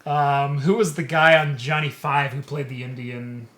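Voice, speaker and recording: A man's voice: medium pitch, steady tone, normal speed, a crowd in the background. A man hosting a casual tech and beer talk show, reading quotes aloud and joking with his co-host. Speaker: podcast host. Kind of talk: casual chat.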